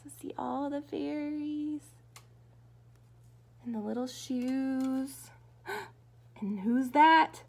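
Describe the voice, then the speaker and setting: A woman's voice: high voice, extremely slow, gravelly, long pauses, darkish tone, a little hiss or hum. A woman reading a storybook aloud as a teacher to children, expressively and dramatically, coaxing them with questions.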